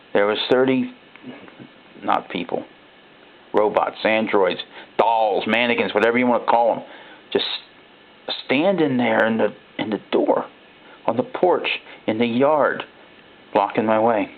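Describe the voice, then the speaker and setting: Man acting rattled gravelly horror-story survivor, phone-like sound. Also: lowish voice, measured pace, pauses often. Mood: trapped, desperate. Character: frightened ordinary man recounting robot replacements. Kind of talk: first-person dramatic horror narration. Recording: thin, phone-like narrow-band sound.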